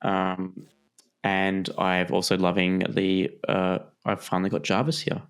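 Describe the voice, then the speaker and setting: A man's voice: low voice, completely flat delivery, unhurried, clear, resonant tone. A man co-hosting a podcast, asking questions in easygoing, casual chat. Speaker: podcast co-host.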